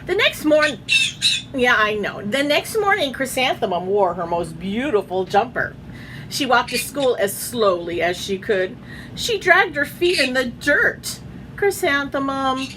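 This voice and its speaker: A woman's voice: mid-range voice, fairly bright tone, a little hiss or hum, low-quality recording. A woman reading a children's picture book aloud at home, in a sing-song storybook way, chatting to her pet birds between pages.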